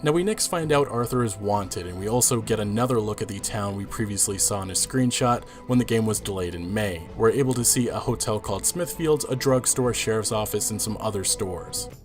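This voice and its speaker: A man's voice: fairly low pitch, fairly quick, hardly any pauses. A man giving breakdown commentary on a video game trailer. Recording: music behind the voice. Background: music.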